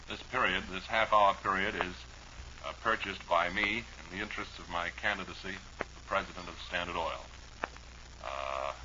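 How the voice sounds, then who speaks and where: Casting: male radio host, very low pitch, hesitant deadpan rambler, muffled vintage sound with faint hiss. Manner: hesitant, dryly humorous, meandering. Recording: muffled noisy old radio recording.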